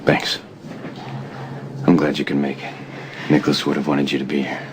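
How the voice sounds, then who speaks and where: A man's voice: extremely low pitch, monotone, moderate pace. A man playing a somber, plain-spoken grown man in a screen drama. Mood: grieving, gentle. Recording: very noisy ambient soundtrack.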